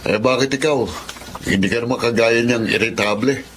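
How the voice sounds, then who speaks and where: A man's voice: mid-range voice, normal speed, a bit bright, a little hiss or hum, close to an everyday voice. A man playing a friendly, griping young man in a radio drama, casual and wry. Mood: griping, sympathetic.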